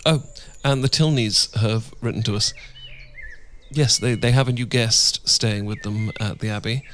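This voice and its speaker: A British man playing a tender, devoted Regency gentleman husband in an intimate ASMR roleplay, speaking gently and a little hesitantly in formal period phrasing. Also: on the low side, fairly even intonation, measured pace. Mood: gentle, chatty. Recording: outdoor birdsong ambience behind voice. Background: birdsong and outdoor ambience.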